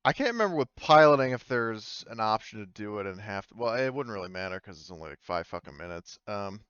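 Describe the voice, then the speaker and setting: A man with a smooth, lowish voice runs a tabletop game in a casual way. He thinks aloud and hesitates as he narrates. The sound is dry and slightly dull, with a lo-fi edge. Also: sing-song, a little fast, a bit bright, no room sound. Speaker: game master.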